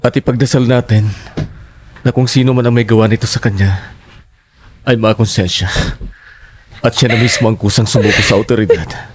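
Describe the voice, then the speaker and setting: A man's voice: lowish voice, steady tone, moderate pace, pauses often, slight background noise, lightly acted. A man playing a consoling, prayerful adult son, speaking in a subdued, soothing way in an audio horror drama. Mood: sorrowful, consoling.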